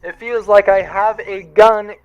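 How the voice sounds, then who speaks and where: A man's voice: high voice, steady tone, measured pace, clean tone, poor audio. A man playing a hapless, sarcastic accountant aboard a privateer spaceship, talking in an exasperated, wordy way for a comic sci-fi audio drama. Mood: nervous, stilted.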